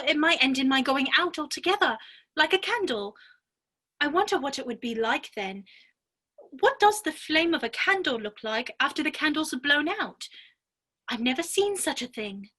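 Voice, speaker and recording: A woman's voice: sits high, bright, forceful tone, exaggerated voice. A woman playing a polite, earnest, curious little girl in a dramatic reading of a children's classic. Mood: wondering.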